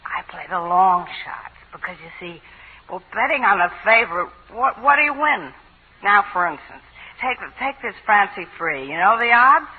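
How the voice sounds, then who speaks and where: An actress playing a jittery, boozy gambling woman in a halting, rambling manner in a 1940s radio drama. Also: medium pitch, lively intonation, breathy edge. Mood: nervous, chatty. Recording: tinny, muffled 1940s radio broadcast.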